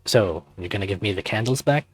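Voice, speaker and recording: A man's voice: fairly low pitch, animated, brisk, slightly rough, soft background music. A man voicing a goofy, laid-back teenage male furry best friend with casual, hesitant slacker phrasing in a visual novel. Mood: casual, relieved.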